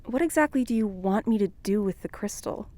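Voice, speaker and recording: A woman's voice: medium pitch, expressive, fairly quick, dark tone, close to an everyday voice. A woman playing a skeptical, questioning and loyal young woman in a science fiction podcast drama. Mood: doubtful. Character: skeptical, loyal young woman named Jenna caught up in adventure.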